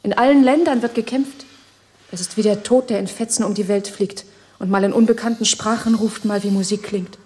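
An actress in a German radio play playing a fearful, haunted wife with a dramatic, urgent delivery. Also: female voice, medium pitch, fairly even intonation, brisk, a little mellow, slight background noise, close to an everyday voice.

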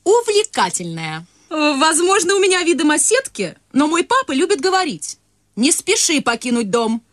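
A woman's voice: high voice, sing-song, moderate pace, dry recording, studio quality. A young woman actor playing a naive, nervously polite secretary in a radio comedy, rambling on in a prim, earnest way. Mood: earnest, chatty. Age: young adult. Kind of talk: radio play comedy acting.

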